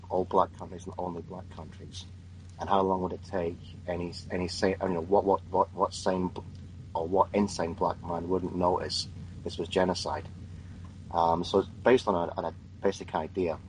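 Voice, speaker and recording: A man's voice: low-pitched, level tone, moderate pace, darkish tone, slight background noise, poor audio. A man talking on a panel in an emphatic, opinionated way, often repeating words as he starts a thought. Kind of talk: casual podcast chat.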